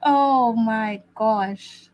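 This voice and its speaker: A woman's voice: a little high, drawn-out words, darkish tone, highs cut off. A woman hosting a live watch-along stream, chatting casually and often repeating her phrases with many fillers. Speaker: host.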